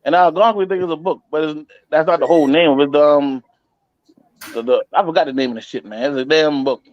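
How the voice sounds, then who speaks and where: A man's voice: a little high, brisk, dull audio. A man in a casual, argumentative discussion, speaking colloquially and insistently and repeating himself.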